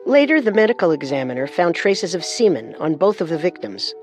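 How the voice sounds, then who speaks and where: A woman's voice: fairly low pitch, sing-song, brisk, soft background music. A woman co-hosting a true crime show, delivering scripted narration with dramatic phrasing.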